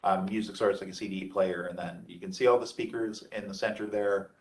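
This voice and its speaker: A man presenting an informal technical lecture as a trainer, speaking haltingly with frequent hesitant pauses. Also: on the low side, flat delivery, distant mic.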